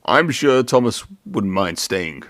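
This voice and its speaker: A sensible male engine, voiced by a man in a children's story. He is sympathetic and knowing, with a measured manner and a dry, close sound. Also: medium pitch, big pitch swings, a bit bright, no echo. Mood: sympathetic, sly.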